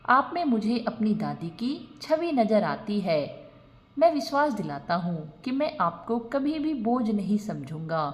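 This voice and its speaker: A woman voicing a kind, lonely young man in a storybook tale, earnest and gently pleading. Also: mid-range voice, big pitch swings, a little slow. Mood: tender, reassuring. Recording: long reverb on the voice.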